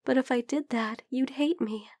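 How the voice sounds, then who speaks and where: A woman playing a shy, lovestruck young female vampire in an intimate ASMR roleplay, speaking softly and hesitantly, often slipping into whispers. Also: high voice, moderate pace, dry recording, studio quality. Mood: bashful, confessing. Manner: hesitant, soft, breathy murmuring that slips into whispers.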